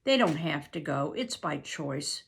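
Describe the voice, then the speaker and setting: A woman's voice: sits low, expressive, unhurried, gravelly, a bit bright, slight background noise, voice pushed down, heavily acted. A woman reading aloud, voicing a desperate young male soldier who pleads earnestly. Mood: pleading.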